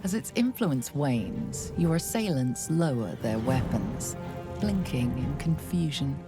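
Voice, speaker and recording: An actress playing a grave, ominous narrator of a fantasy video game, telling the story in a measured way. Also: female voice, very deep voice, expressive, over loud music, exaggerated voice. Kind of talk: scripted second-person game narration.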